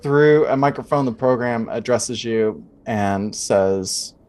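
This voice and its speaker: A man working as game master, narrating a tabletop adventure in a measured, descriptive, improvised way. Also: mid-range voice, darkish tone.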